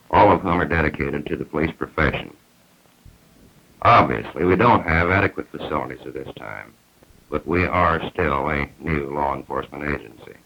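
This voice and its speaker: An American man, a police superintendent, giving a formal prepared statement in official, deliberate wording. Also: low-pitched, slight background noise. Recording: muffled 1950s archival TV film soundtrack.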